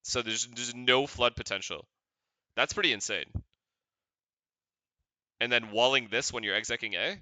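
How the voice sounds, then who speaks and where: A man's voice: mid-range voice, normal speed, pauses often, sharp tone, no echo. A man streaming and chatting casually with his viewers, blunt and profane, joking around as he reacts.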